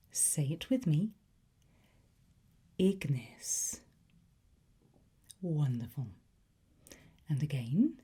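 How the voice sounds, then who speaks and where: Slow, breathy whispering woman. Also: low voice, dark tone. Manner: slow, deliberate, coaxing instruction with pauses. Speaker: ASMR performer playing a language teacher. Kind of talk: whispered ASMR teacher roleplay.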